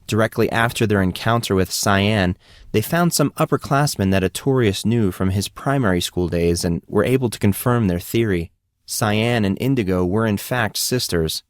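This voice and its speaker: A man's voice: lowish voice, moderate pace, no echo, clean studio sound. A man reading scripted fiction as an audiobook narrator.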